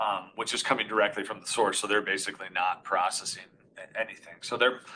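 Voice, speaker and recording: A man with a loud, carrying voice presenting a webinar, walking through a live product demonstration in a casual, explanatory way from a meeting room. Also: fairly low pitch, a little hiss or hum, poor audio. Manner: informal, explanatory. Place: meeting room with reflective walls. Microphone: conference room array microphone.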